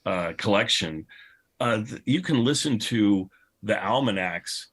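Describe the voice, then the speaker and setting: A man's voice: lowish voice, animated, unhurried, fairly bright tone, slightly rough. A man hosting a casual panel discussion, enthusiastic and rambling. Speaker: panel host.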